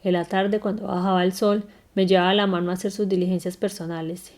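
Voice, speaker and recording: A woman reading a memoir aloud in an unhurried, careful way, now and then stumbling and correcting herself. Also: medium pitch, little pitch movement, darkish tone. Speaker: reader.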